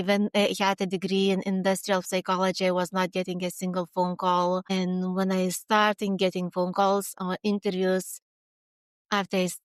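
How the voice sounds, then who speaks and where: A woman with a Lithuanian accent, a podcast guest, speaking earnestly and pausing to search for her words. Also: mid-range voice, clear, resonant tone, no echo. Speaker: guest, HR consultant. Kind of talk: interview conversation.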